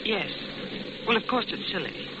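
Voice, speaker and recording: An actress playing a nervous, troubled young woman passenger in 1940s radio suspense style, with halting, dramatic delivery. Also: medium pitch, animated, noisy background, natural voice. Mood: suspicious. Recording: muffled old radio broadcast, loud music behind.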